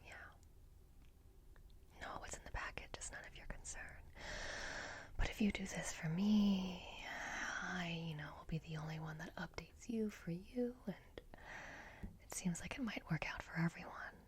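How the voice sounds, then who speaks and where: A woman whispering an ASMR roleplay as a secretive, softly scheming robot repair technician, speaking in a hushed, deliberate, coaxing way with long pauses. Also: on the low side.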